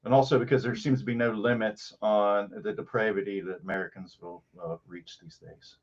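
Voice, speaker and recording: A man's voice: lowish voice, fairly even intonation, a little fast, lo-fi sound. A man hosting a casual interview, making dry, wry remarks. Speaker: podcast host.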